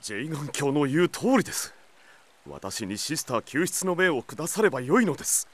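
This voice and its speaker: An actor playing a loyal, courteous knight captain with serious, formal speech in an audio drama. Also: male voice, fairly high pitch, big pitch swings, brisk. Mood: dutiful. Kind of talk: anime-style audio drama acting.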